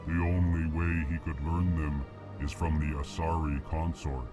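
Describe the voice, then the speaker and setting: Give me a ponderous alien diplomat in a game cutscene. The voice sits at the very bottom of the register and creeps along in an unbroken monotone. He flatly announces each emotion before he speaks. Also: over music. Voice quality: very deep, slow monotone drone. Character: massive slow alien male diplomat, emotionless. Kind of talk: video game cutscene dialogue.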